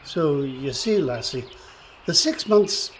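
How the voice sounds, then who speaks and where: A cranky little Irish leprechaun for a radio drama. The voice is fairly high and swoops all over, fussy and chatty, with faint birdsong behind. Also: male voice, slow, a little hiss or hum. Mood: teasing. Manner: grumbling, animated. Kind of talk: radio play acting. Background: birdsong, woodland ambience.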